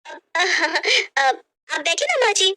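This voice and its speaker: A woman puts on a sly young daughter-in-law in a cartoon moral tale. Her voice is high and bright, breezy and wheedling, with lively swings of pitch. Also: takes it slowly, exaggerated voice. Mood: eager, evasive. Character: sly, lazy young married woman, sweet-talking twin sister. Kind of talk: animated Hindi moral story voicing.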